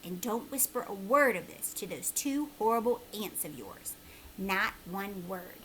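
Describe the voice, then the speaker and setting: A woman's voice: mid-range voice, expressive, unhurried, slight background noise, poor audio. Woman playing a peculiar old man, urgent and secretive. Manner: urgent, emphatic, hushed at times.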